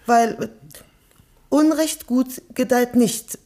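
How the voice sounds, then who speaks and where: An actress playing a theatrical, repentant gangster's moll in a German radio play. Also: female voice, fairly high pitch, fairly even intonation, drawn-out words, dark tone. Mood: earnest.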